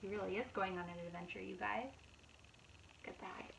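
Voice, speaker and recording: A woman in her thirties, a preschool teacher, reads picture books aloud to young children in an expressive, animated manner with asides to her listeners. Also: medium pitch, a little fast, vocal fry, noisy background. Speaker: preschool teacher reading storytime.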